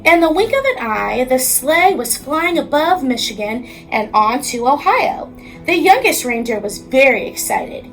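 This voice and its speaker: An American woman, a teacher, reading a children's storybook aloud with expressive narration. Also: sits high, measured pace, soft background music, poor audio.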